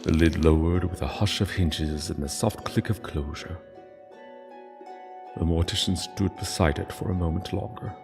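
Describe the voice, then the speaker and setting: A man narrating a sombre story in a solemn, hushed and deliberate way. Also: deep voice, in a large hall.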